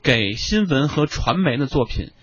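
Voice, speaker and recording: A man with a husky voice speaking as a radio panel guest, laying out his points one by one with emphasis. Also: medium pitch, big pitch swings, normal speed, a bit bright, no room sound. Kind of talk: conversational radio commentary. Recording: dull, muffled audio.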